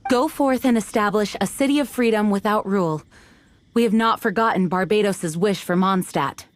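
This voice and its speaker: A woman's voice: mid-range voice, normal speed, a little hiss or hum, natural voice. An actress playing a resolute young woman knight commander in a video game, formal, firm and dutiful.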